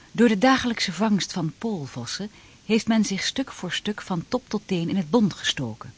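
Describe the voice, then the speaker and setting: A man's voice: fairly high pitch, husky. A man narrating a radio drama from a script, telling the story in a dramatic style.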